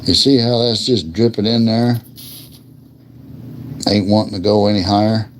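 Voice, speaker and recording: A man with a deep voice chatting casually and in a folksy, colloquial way as he hosts a hands-on demonstration of lighter repair. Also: fairly even intonation, moderate pace, slight background noise. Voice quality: gravelly. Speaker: host of a lighter repair livestream.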